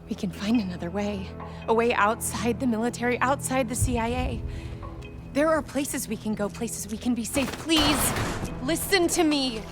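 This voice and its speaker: An actress playing a grave, caring intelligence agent with quiet urgency in a video game cutscene. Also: female voice, on the high side, moderate pace, lightly acted. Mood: pleading. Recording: music under the voice.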